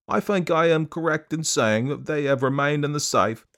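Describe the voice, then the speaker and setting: A man's voice: fairly high pitch, normal speed, fairly bright tone, pitched above the performer's own voice. A man voicing a grave older male banker who speaks precisely and in a dry, businesslike way.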